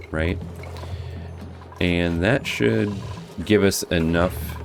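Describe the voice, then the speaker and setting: Hoarse guy with a real low voice chatting thru his game, music going behind him. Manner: thinking aloud, relaxed, restarts. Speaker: game guide host. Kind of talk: casual gameplay commentary.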